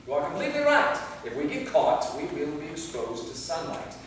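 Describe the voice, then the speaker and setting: High-pitched, earnest vampire knight played by a man. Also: wildly swooping pitch, fairly quick. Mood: earnest, pleading. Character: centuries-old male vampire knight, anxious, self-sacrificing, bookish. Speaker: stage actor in a staged reading. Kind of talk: live staged play reading. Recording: echoey hall from far off, faint noise.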